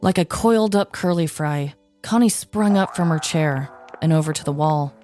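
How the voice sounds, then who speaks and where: A woman's voice: sits low, normal speed, darkish tone. A woman hosting a podcast, narrating a scripted story in a descriptive, mock-dramatic way. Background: music.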